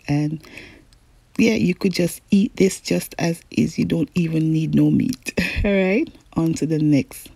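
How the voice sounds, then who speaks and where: A young woman, a cooking channel host chatting viewers through a recipe in a relaxed, friendly voice-over. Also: lowish voice, creaky, husky, no echo. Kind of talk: cook-along voice-over narration. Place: home kitchen.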